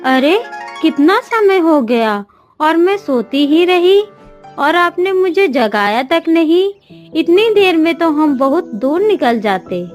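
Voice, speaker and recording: A woman's voice: extremely high pitch, big pitch swings, takes it slowly, faint music behind, exaggerated voice. A woman voicing a plaintive, self-pitying young wife in a cartoon tale. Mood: surprised.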